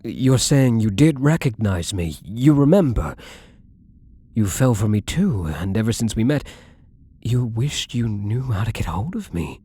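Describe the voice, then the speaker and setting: Possessive rich gambler in love, played by a man. Intimate ASMR roleplay, dark mellow tone, expressive swings in pitch, commanding and adoring. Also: exaggerated voice. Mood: confused, realizing. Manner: breathy, slow, intimate, often dropping to a whisper.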